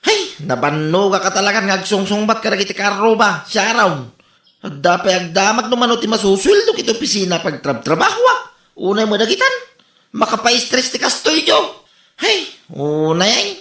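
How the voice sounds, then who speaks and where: A harried office worker pestered by nosy neighbours, with an Ilocano accent. The delivery swoops wildly and whines in exasperation. The voice is bright and forceful, in a roomy, echoey radio comedy recording. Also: male voice, high-pitched, big pitch swings, normal speed, heavily acted. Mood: exasperated. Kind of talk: comedy radio drama acting.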